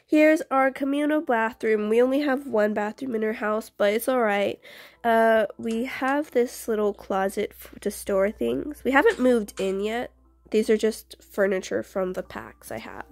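A woman's voice: fairly high pitch, expressive, a little slow, creaky, no echo. A young woman doing a casual, chatty house-tour voice-over for a game video, often interrupting herself in an informal way. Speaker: video creator.

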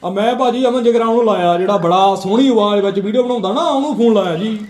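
A man's voice: sits high, quick pace. A man chatting casually and making prank phone calls as the host. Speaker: prank call video host.

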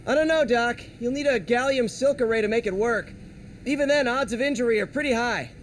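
An actor playing an earnest, loyal young lab assistant, sincere and boyish, in a video game. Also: male voice, extremely high pitch, a little fast, slight background noise, poor audio. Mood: curious, cautious. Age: young adult. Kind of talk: video game cutscene acting.

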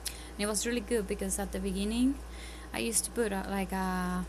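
A woman's voice: mid-range voice, fairly even intonation, fairly quick. Chatty woman with a Spanish accent. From Spanish-speaking country. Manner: chatty, earnest, many ums and restarts. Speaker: homeschooling parent. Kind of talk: casual tips vlog. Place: home, at a table, indoors. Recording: audible background noise.

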